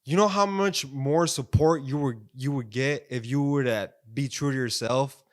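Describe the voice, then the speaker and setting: A man's voice: mid-range voice, moderate pace. Candid, slangy young man. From San Diego, United States. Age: 20s. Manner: slangy, profane, candid, emphatic. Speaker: podcast guest, music artist. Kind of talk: casual podcast chat.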